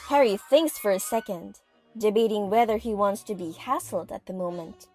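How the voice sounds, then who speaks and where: A woman narrates a scripted story in a vivid, dramatic way. Her pitch is in the middle range, and soft music plays under her voice. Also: normal speed.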